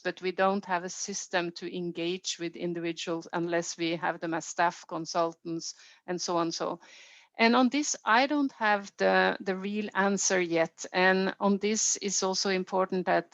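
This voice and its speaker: A woman with a Norwegian accent gives unscripted formal remarks as an official at a meeting, choosing her phrasing deliberately and stressing key words. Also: lowish voice, steady tone, fairly bright tone. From Norway. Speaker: health organisation official leading a consultation. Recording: roomy, dull band-limited meeting audio.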